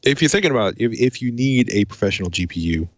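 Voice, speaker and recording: A man's voice: medium pitch, animated, quick pace, dry recording, dull audio. A man hosting a tech podcast, talking through hardware and reading in a presenter's way. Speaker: podcast host. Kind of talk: tech podcast talk and host-read sponsor spots.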